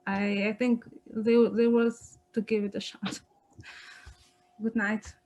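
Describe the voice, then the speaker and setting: A woman's voice: mid-range voice, flat delivery, takes it slowly, a little mellow. A woman, a playwright, speaking informally on an online panel. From Kosovo.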